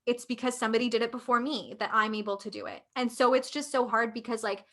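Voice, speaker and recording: A woman's voice: fairly high pitch, fairly even intonation, fast, a bit bright. A young woman with a Canadian accent, co-hosting a podcast and talking things through with many fillers. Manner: thoughtful, filler-heavy, many "like"s. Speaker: podcast co-host. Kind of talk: casual conversation.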